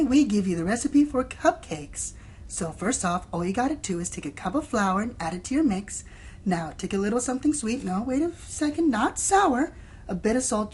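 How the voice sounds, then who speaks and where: A woman's voice: medium pitch, a little mellow, breathy edge. A woman playing a sweet, chatty pony baking host giving a singsong recipe in a radio play.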